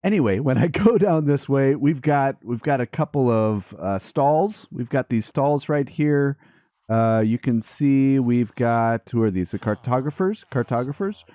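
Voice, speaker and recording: A man's voice: medium pitch, animated, a little slow, warm tone, dry recording, studio quality. A man hosting a gaming let's play, chatting playfully and casually to viewers and game characters. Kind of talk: upbeat casual gameplay commentary.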